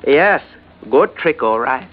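An actor playing a guarded Chinese shopkeeper with a put-on Chinese accent, speaking terse, halting pidgin in a 1940s radio drama. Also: male voice, medium pitch, lively intonation. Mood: quietly confiding. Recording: muffled vintage radio recording.

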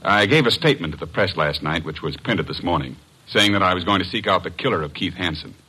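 Anxious male client in a radio mystery, low forceful voice with a husky edge, earnest, 1940s style. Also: fast talker, exaggerated voice, rough recording. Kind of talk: 1940s radio detective drama acting.

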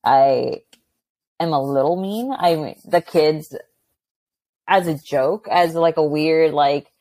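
A young adult woman, a high school teacher, chatting animatedly as a guest on an interview podcast. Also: slow pace, no room sound. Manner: animated and chatty, acting out quoted exchanges. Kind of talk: casual interview conversation.

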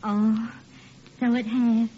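An actress playing a patient, sentimental wife and mother in a 1940s radio comedy, with an American accent and an expressive manner. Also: a little high. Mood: tearfully touched. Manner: expressive, unhurried, emotional. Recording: muffled, noisy old radio recording.

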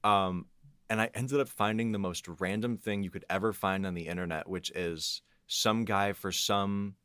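A man's voice: on the low side, steady tone, normal speed, fairly bright tone, clear, resonant tone, dry recording, clean studio sound. A man telling anecdotes in casual chat as a podcast guest, acting out quotes as he goes. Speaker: podcast guest, composer and audio engineer.

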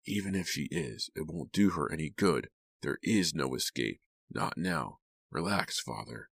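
A man voicing a menacing, controlling young bridegroom and pack master in an audiobook, speaking softly and commandingly in a hushed voice. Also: very deep voice, sing-song, a little slow, no echo, exaggerated voice. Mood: calm control. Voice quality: hushed, breathy.

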